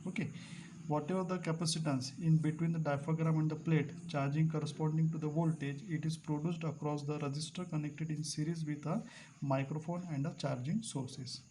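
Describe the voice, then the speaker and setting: A male teacher explaining a device to students, repeating key terms slowly and clearing his throat now and then. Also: fairly high pitch, fairly even intonation, mellow, a little hiss or hum, rough recording. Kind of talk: classroom lecture at a board.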